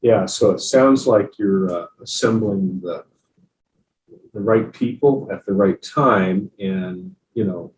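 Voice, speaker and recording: A man moderating a panel, asking halting, measured questions. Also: low-pitched, echoey room, far from the mic, slight background noise, rough recording.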